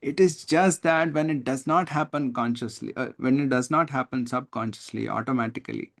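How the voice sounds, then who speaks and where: Man, Indian accent, online tutor, creaky voice, medium pitch, deliberate word stress, questioning explanatory delivery. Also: a bit bright. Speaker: teacher, test-prep coach. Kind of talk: live online lesson, explaining reading comprehension.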